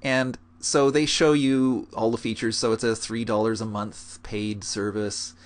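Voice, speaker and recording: A man with a fairly low voice talks through an on-screen demo. His delivery is relaxed and explanatory, with plenty of small hesitations as he clicks around. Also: a little slow. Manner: relaxed, explanatory, with many fillers. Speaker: game gold-making guide. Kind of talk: screencast walkthrough.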